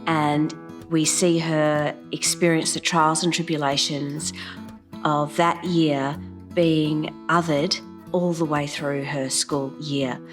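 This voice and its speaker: A woman with a notably low voice speaks in an explanatory interview manner. Guitar music plays clearly behind her as she talks. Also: little pitch movement, slow. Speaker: theatre creator interviewed.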